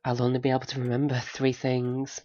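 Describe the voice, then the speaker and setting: A man's voice: mid-range voice, completely flat delivery, brisk, a little mellow, muffled recording, lightly acted. A man playing a mild, doubtful listener in a naturalistic audio drama, answering in short, tentative, questioning replies. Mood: puzzled.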